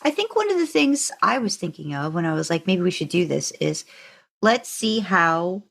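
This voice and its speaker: Lower-voiced woman podcaster, very animated pitch. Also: moderate pace, gravelly. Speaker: podcast co-host. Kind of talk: casual chat.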